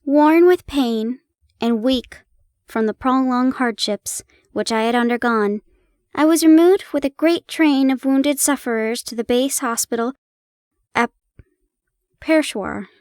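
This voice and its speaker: A young woman in a playful roleplay, chatting warmly to the listener and reading an old story aloud, giggling and stumbling over old place names. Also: sits high, a little slow, clear, resonant tone, no room sound, clean studio sound. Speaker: audio roleplay performer.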